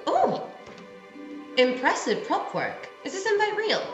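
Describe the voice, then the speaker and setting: A woman in her twenties playing a wry, sweet young pantomime heroine with deadpan asides, recorded in an echoey room. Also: very high voice, unhurried, music in the background, full character voice. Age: 20s. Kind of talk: pantomime comedy. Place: brick-walled room, indoors. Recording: echoey room on video call.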